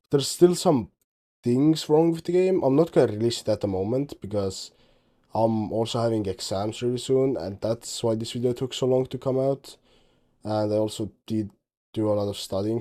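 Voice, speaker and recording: A man's voice: on the low side, warm tone. A teenage man from Estonia, a native Estonian speaker, chatting casually in English about his game project, rambling and often correcting himself. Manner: rambling, self-correcting, offhand. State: tired. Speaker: game dev vlogger. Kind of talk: casual commentary over screen recording.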